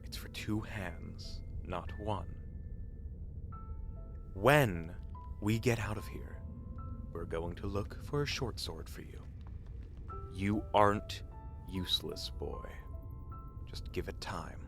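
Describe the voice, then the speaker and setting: A man's voice: deep voice, slow pace, vocal fry, pauses often, exaggerated voice. A man playing a boastful, theatrical adventurer in a fantasy audio drama, with a pompous, dramatic delivery. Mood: reassuring. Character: boastful, theatrical male adventurer telling his own heroic tales. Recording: echoey hall reverb under game music.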